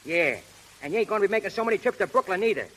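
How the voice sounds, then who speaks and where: An actor playing a tough, blunt boxing manager with an American accent and slangy, ungrammatical speech in 1930s radio drama style. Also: male voice, high-pitched, lively intonation, very fast, fairly bright tone. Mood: combative. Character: tough, blunt, working-class boxing manager, tongue-tied in love. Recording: hissy, crackling 1930s radio recording.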